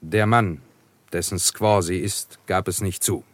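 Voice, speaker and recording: Proud fiery young warrior, german radio drama, low-ish, dry studio, short earnest lines. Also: male voice, fairly even intonation, unhurried, dry recording. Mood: earnest, pained. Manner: earnest, formal, terse.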